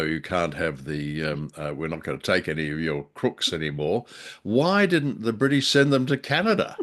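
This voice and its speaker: Older male co-host, low voice, casual and jokey, rambling unscripted delivery. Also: a bit bright. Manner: rambling, jokey, often repeats words for emphasis. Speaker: podcast co-host.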